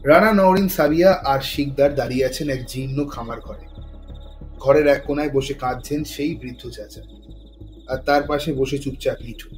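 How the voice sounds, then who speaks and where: A man's voice: medium pitch, normal speed, darkish tone, rough recording. A man reading dramatic scripted narration as the storyteller of an audio thriller. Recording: music under the voice.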